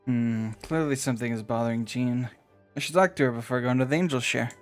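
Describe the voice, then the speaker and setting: A man's voice: medium pitch, fairly quick, soft background music. A man casually reacting and commenting over gameplay as a streamer. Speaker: game streamer.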